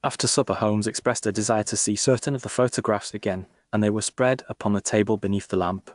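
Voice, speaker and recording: A man reading a scripted first-person literary narration in a measured, formal way. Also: on the low side, fairly even intonation, dry recording, clean studio sound. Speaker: audiobook narrator.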